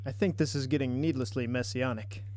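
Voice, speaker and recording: A man's voice: medium pitch, steady tone, brisk, a little mellow, no room sound, natural voice. A man playing an earnest, impatient computer programmer in a science fiction radio drama, speaking formally with some exasperation.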